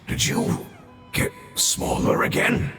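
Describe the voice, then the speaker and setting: A man's voice: slow, natural voice. A man voicing a weary, dying ancient guardian creature in a video game, solemn and formal in address. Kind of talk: dramatic video game voice acting. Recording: echoing voice under game music.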